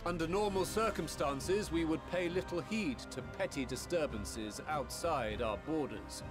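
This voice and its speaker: Video game statesman, male actor: grave authority, measured formal oratory, higher-than-average male pitch, music underneath. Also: close to an everyday voice. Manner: solemn, declamatory, measured.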